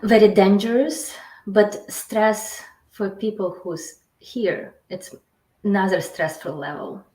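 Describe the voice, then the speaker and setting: A woman from Ukraine is being interviewed, and her English is halting and non-native, full of pauses and fillers. Her voice sits in a middle range. The recording carries a noticeable room echo. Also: mid-range voice, mellow. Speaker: interview guest. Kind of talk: casual interview.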